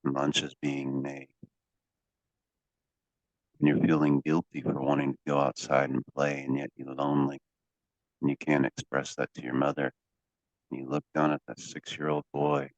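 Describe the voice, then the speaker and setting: A man working as a hypnotherapy coach, guiding a session in a deliberate, commanding way with drawn-out pauses and phrases to be repeated. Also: rumbling low, completely flat delivery. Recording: dull, echoey lo-fi room sound.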